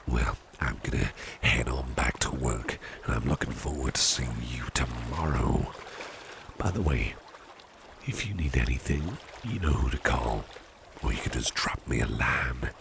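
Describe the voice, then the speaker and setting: An extremely deep, gravelly gentleman boss, acted by a man in an ASMR roleplay. He speaks slowly and suavely, slipping into a breathy half-whisper, courteous and coaxing, with strong ambient noise behind him. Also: heavily acted. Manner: slow, gentlemanly, reassuring, coaxing.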